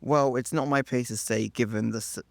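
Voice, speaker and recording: A young man playing a cautious, reassuring science professor giving a hesitant, measured interview in a radio drama. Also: mid-range voice, steady tone, vocal fry, no room sound, lightly acted. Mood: guarded, reassuring. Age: young adult.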